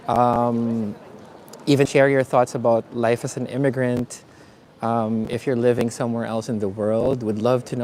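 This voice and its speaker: A man from the Philippines hosting a live walking tour outdoors, talking in a relaxed, chatty way with many fillers. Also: medium pitch. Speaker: vlogger. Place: city streets outdoors. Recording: faint street noise outdoors. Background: street traffic and ambience.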